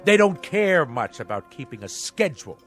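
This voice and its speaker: A self-important businessman in a radio drama, pitched fairly high and swooping with indignation. He is pompous, fussy and demanding, with music running underneath. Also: big pitch swings, moderate pace. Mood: indignant, sarcastic.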